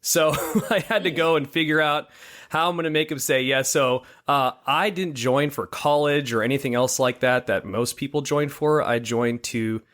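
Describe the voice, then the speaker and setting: A man in his thirties from Hawaii, a guest on a podcast, telling his story in a relaxed, easygoing way. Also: medium pitch, moderate pace. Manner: relaxed storytelling, laughs easily. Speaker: guest, military lab technician. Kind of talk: casual interview.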